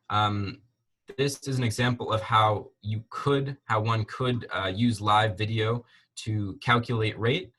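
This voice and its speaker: A man's voice: lowish voice, pushed and bright, rough recording. A young man explaining a student project and answering questions as a presenter.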